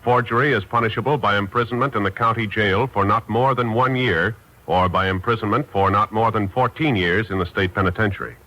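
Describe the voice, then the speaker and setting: A man's voice: fairly low pitch, fairly quick, sharp tone. A man with an American accent announcing formally in the style of 1950s radio. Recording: dull, noisy old radio recording.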